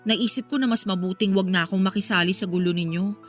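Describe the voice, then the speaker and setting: Actress as a level-headed female confidante, medium pitch. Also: fairly even intonation, quick pace, close to an everyday voice. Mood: gently reasoning. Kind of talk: Filipino radio drama acting. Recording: music under the dialogue.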